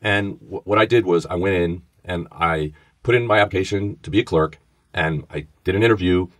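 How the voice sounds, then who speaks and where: A middle-aged man with a strong Bronx New York accent tells his story with animated energy. His voice sits very low and sounds close and dry. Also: fairly quick, dry recording. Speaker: former FBI agent, podcast co-host. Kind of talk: casual storytelling conversation.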